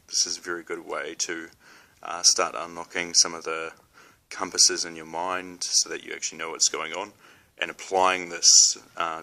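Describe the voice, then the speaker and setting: Very deep, flat New Zealand man. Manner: hesitant, many ums and restarts, small laughs. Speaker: spiritual vlogger. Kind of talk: casual talk to camera.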